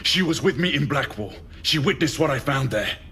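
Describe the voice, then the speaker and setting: A man's voice: fairly high pitch, animated, normal speed, bright, forceful tone, slight background noise. A man playing a determined Victorian knight in a game cutscene, formal and earnest, with a British accent. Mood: urgent. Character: grave, determined Victorian knight, urgent and loyal. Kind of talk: video game cutscene acting, period drama.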